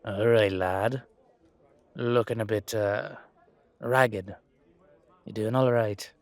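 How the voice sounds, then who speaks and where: A man's voice: on the low side, steady tone, slow, dry recording. A man voicing a wry, blunt leprechaun with an Irish accent, dry and colloquial, with a hint of menace. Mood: concerned.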